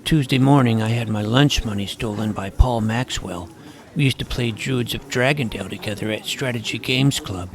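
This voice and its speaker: An actor playing a nerdy, earnest junior high schoolboy in a comedic audio drama, talking in a precise, wordy way. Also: male voice, normal speed, lightly acted. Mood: earnest narrating. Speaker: narrator and lead character.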